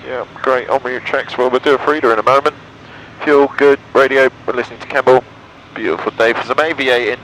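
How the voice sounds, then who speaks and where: A man's voice: mid-range voice, fairly even intonation, a little fast, fairly bright tone, rough recording. A man in his thirties with an English accent gives in-flight commentary in clipped procedural phrases with upbeat asides, through a headset in a small aircraft cockpit. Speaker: pilot and vlogger. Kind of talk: in-flight commentary and radio calls. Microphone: aviation headset. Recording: engine noise over aviation headset audio. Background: aircraft engine drone.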